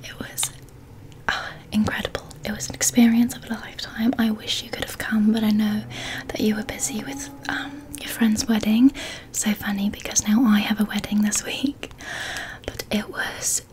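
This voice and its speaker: A young woman whispering softly and reassuringly into a close microphone in an ASMR roleplay. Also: medium pitch, little pitch movement, normal speed. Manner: soft whispering, gentle and reassuring. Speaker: ASMR creator.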